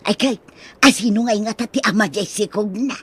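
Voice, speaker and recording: An actress playing a nosy, exclamatory village gossip in an Ilocano radio drama. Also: female voice, medium pitch, a little fast, a bit bright, husky. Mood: scandalized, gossipy.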